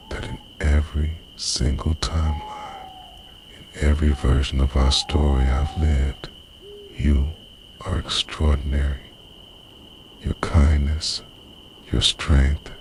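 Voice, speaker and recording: A man's voice: extremely low pitch, background noise, exaggerated voice. A man playing an obsessively devoted time-travelling boyfriend in an intimate, earnest, half-whispered ASMR roleplay. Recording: night crickets and owl behind voice. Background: night insects, crickets and hooting owl.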